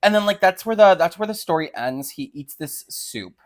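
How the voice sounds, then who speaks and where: A woman's voice: lowish voice, expressive, moderate pace. A woman hosting a podcast, chatting casually in irreverent, profane, campy slang. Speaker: podcast host. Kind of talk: casual chat, book discussion.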